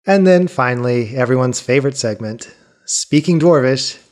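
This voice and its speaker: A man's voice: mid-range voice, animated, moderate pace, a little mellow, soft background music. A man hosting a tabletop gaming podcast in an easy, teasing way, introducing segments between laughs. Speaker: podcast host. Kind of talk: casual hosting and banter.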